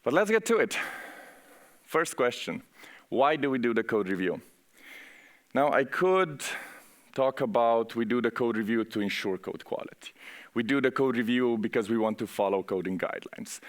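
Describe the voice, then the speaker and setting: A man's voice: medium pitch, lively intonation, normal speed, long pauses. A man with a Slovenian accent presenting at a conference in an informal, conversational way, speaking directly to the audience. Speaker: conference speaker, software architect. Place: conference session room, on stage.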